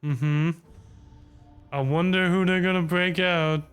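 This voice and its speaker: A man's voice: sits high, fairly even intonation, slow, fairly bright tone, clean tone. A man giving casual game reaction commentary in muttered, wry asides. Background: game music.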